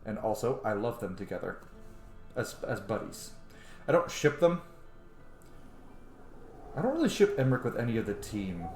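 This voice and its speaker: A man's voice: fairly low pitch, sing-song, normal speed, long pauses, a little mellow, faint music behind. A man in his thirties giving casual, chatty, sweary gaming commentary as a channel host. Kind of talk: casual let's play commentary. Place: small room at home, indoors. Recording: echoey room with faint noise.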